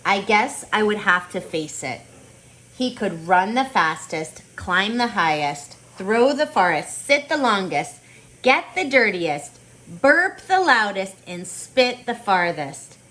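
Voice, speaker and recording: A woman teaching on a video call, reading aloud and chatting with children in an expressive, encouraging way. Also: mid-range voice, takes it slowly. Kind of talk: online storybook read-aloud with casual chat.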